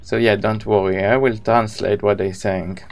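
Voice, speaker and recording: A man's voice: fairly low pitch, level tone, normal speed, vocal fry. A man in his thirties with an Israeli accent, a native Hebrew speaker, chatting casually with viewers as he live-streams a video game.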